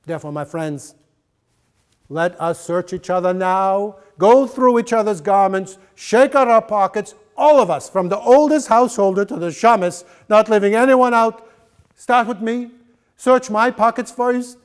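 A man's voice: high voice, a little slow, clear, resonant tone, pitched above the performer's own voice. A man in his sixties voicing a grave, pious village rabbi addressing his congregation in a solemn, declamatory story reading. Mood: urgent, exhorting.

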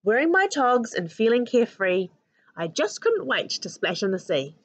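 A woman in her thirties with a New Zealand accent reads a children's picture book aloud with an expressive, sing-song rhyming delivery. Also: on the high side, moderate pace, dull audio. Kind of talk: storybook read-aloud for children. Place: living room at home. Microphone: webcam at arm's length.

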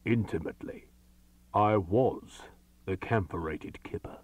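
A man's voice: medium pitch, sing-song, measured pace, darkish tone, no room sound. A man voicing a pompous, self-satisfied great detective in a comic story reading.

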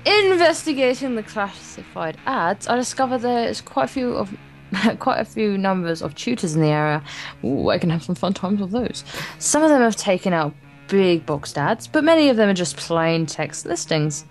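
A woman's voice: medium pitch, sing-song, normal speed, poor audio. A woman co-hosting a let's play, reading a visual novel aloud with playful, casual banter. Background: soft guitar music.

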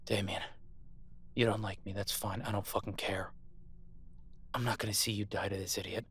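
Man acting a husky, defiant captive werewolf alpha. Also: lowish voice, flat delivery, moderate pace, a bit bright. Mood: firm persuasion. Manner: profane, sardonic, urgent persuading. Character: captive male werewolf alpha soldier, defiant, pragmatic, protective. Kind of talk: audio roleplay acting.

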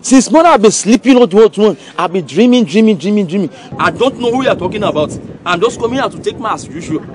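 Actor as a high-voiced boastful Nigerian drinker. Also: male voice, fast, background noise. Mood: teasing, pleading. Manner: showy, animated slangy posturing. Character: boastful drinker putting on a returnee-from-abroad swagger, then pleading. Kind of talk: comedy film acting.

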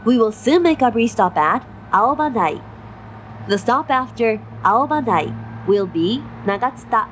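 A woman reads formal station announcements. She is slow and measured, with a fairly high voice. Faint rumble of a running train sits behind her. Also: lively intonation. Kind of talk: scripted railway announcement. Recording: noisy onboard train recording. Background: train running noise.

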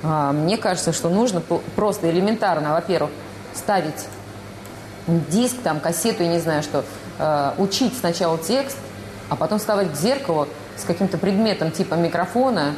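A woman speaking in a chatty, animated interview on a studio set. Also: fairly low pitch, normal speed, echoey room, background noise. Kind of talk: casual interview talk.

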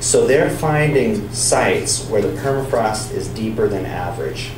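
Guy lecturing at a pub science night, kinda low voice, mic far away, room echoes. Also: measured pace. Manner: relaxed, explanatory, with some restarts. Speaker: scientist giving a public talk. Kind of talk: lecture and audience Q&A. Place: bar or pub venue with an audience. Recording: echoey, distant, noisy room.

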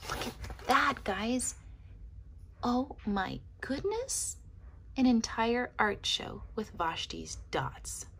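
A woman reading a children's picture book aloud expressively, with dramatic pauses, as if to young listeners. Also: a little high, lively intonation, gravelly, a bit bright, a little hiss or hum.

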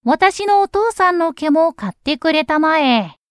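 A woman's voice: high voice, lively intonation, unhurried, no echo, studio quality, pitched above the performer's own voice. A woman voicing a mischievous raccoon dog trickster in a children's story, drawing words out in a self-satisfied way. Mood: sly, demanding.

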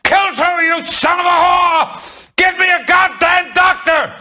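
A man's voice: very high voice, fairly even intonation, slow pace, sharp tone, raised voice, exaggerated voice. An actor playing a wounded, foul-mouthed crooked businessman in a video game, snarling and pleading in agony. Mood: screaming rage. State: in agony, crying out. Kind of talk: dramatic video game acting.

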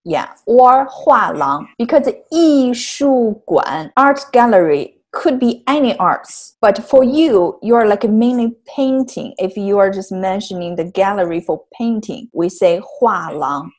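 A young woman from Northeast China with a Mandarin Chinese accent, teaching Chinese words in English over a video call, explaining patiently with fillers and soft laughs. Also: medium pitch, lively intonation, slow, few pauses. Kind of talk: casual language lesson on a video call. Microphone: video call, earphone mic.

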